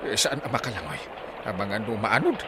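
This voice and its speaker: A man's voice: lowish voice, wildly swooping pitch, fairly quick, heavy background noise. A man playing a flustered, bossy village uncle in a comedy drama, speaking urgently with an Ilocano accent. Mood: anxious muttering.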